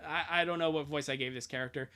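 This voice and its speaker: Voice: medium pitch, fairly even intonation, quick pace, pushed and bright. A streamer reading fan fiction live, breaking off for irreverent, sweary asides in a casual, joking way.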